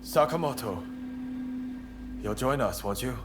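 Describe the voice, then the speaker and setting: An actor playing a stern rebel plotter in a game cutscene, giving orders in a commanding, businesslike way. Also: male voice, mid-range voice, expressive, unhurried, very noisy, lightly acted. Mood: commanding, determined. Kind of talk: video game cutscene acting.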